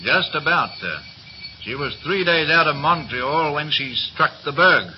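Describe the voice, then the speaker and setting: An actor playing a grizzled quartermaster in his fifties in a 1930s radio play, deferential, measured and guarded. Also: a little high, lively intonation. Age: 50s. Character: grizzled veteran quartermaster of fifty, respectful, steady, guarded. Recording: muffled, hissy 1930s radio recording.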